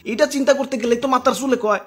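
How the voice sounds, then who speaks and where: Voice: high voice, quick pace, heavy background noise, natural voice. A performer with a Dhaka accent voicing a fed-up young male phone customer in an exasperated comic cartoon rant. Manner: ranting, exasperated.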